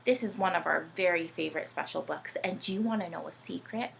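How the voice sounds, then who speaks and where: A woman's voice: lowish voice, brisk, vocal fry, a little hiss or hum, rough recording. A woman reading and chatting to young children in a playful, sing-song way, often asking them questions. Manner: sing-song, animated, asks the listeners questions. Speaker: children's read-aloud host. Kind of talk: playful read-aloud and chat addressed to young children.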